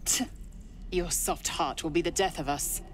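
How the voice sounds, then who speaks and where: A woman as a slow, haughty warrior woman. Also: fairly low pitch, close to an everyday voice. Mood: cold disdain. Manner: clipped, imperious, disdainful. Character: haughty, stern female alien warrior. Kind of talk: video game voice acting. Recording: noisy game ambience.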